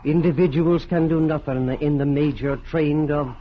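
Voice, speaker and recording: An actor playing a polite, inquisitive professor in an old radio drama, speaking in a measured and slightly hesitant way. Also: male voice, fairly high pitch, fairly even intonation, close to an everyday voice. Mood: thoughtful, questioning. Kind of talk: 1940s-50s radio drama acting. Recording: dull, noisy vintage radio broadcast.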